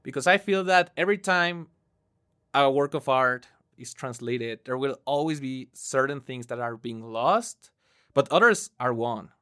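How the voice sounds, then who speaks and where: A man's voice: mid-range voice, expressive, a little slow, a bit bright, clean tone. A man interviewing as a podcast producer, speaking in a thoughtful, conversational way.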